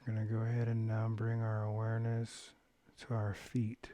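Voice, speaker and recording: A man leading a guided meditation, giving gentle, unhurried instructions with long pauses between phrases. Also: lowish voice, monotone. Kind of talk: guided body-scan meditation, calm instruction.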